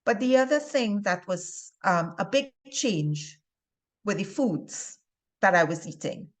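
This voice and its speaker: Slow-talking woman with a Trinidadian accent. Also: mid-range voice, expressive. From Trinidad and Tobago. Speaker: health and wellness video presenter. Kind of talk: informal instructional talk to viewers.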